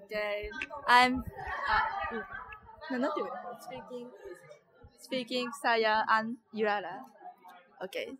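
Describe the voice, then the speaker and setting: A young Japanese woman interviewing a classmate in halting learner English, laughing and apologizing between questions. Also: on the high side. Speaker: student interviewer.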